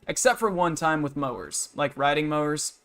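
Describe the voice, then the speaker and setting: A man's voice: a little high, animated, moderate pace, fairly bright tone, soft background music. A man in his twenties chatting casually as a livestreamer, rambling and venting in a blunt, matter-of-fact way. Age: 20s. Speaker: game livestreamer. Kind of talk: casual rambling stream chat, venting about work.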